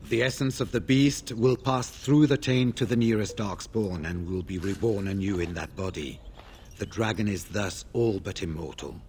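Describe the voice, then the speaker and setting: Fantasy game warden, male actor, older, low and grave, weary but resolute, faint noise behind. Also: steady tone, darkish tone, lightly acted. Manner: solemn, measured, formal. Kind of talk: scripted video game dialogue.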